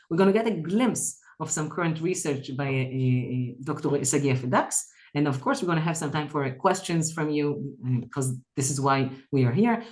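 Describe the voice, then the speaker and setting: Low-voiced woman program coordinator. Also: darkish tone. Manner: welcoming, many fillers. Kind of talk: online info session hosting. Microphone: video call.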